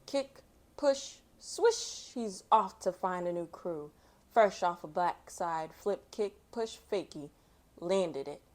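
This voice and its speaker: Expressive woman reading a storybook aloud. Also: lowish voice, slow pace. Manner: expressive, acts out sound effects and exclamations. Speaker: storytime host reading a picture book. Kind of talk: children's read-aloud with casual asides.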